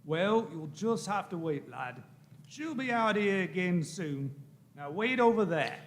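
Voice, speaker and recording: A man's voice: high-pitched, big pitch swings, a little slow. A man playing a brusque, baffled police desk sergeant in a radio play, questioning everything he is told. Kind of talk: live radio-play acting.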